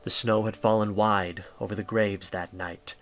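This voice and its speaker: A man's voice: fairly low pitch, little pitch movement, moderate pace. A man reading scripted story narration as the narrator of an audio drama. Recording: faint music bed.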